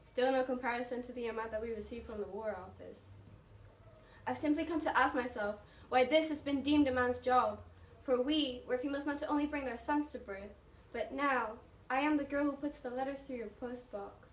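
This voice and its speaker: Proud English mother turned postwoman acted by a woman, recital delivery, higher voice, distant mic. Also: brisk, lightly acted. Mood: reflective, indignant. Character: thoughtful, proud mother working as wartime postwoman. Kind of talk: dramatic slam poetry recital. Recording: noisy, echoey room, mic far off.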